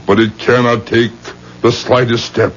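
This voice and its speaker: A man plays a grave rabbi exorcist, fatherly yet commanding. He intones slowly in a mid-pitched voice, like a chant, on a muffled vintage radio drama recording. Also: level tone, close to an everyday voice. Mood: solemn, incantatory. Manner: solemn, incantatory, commanding. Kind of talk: 1970s radio drama acting. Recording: dull, noisy old radio recording.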